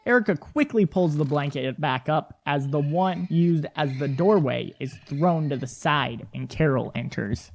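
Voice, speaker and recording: A man's voice: a little high, expressive, a little slow, gravelly, few pauses. A man reading scripted narration as the announcer and storyteller of an audio production.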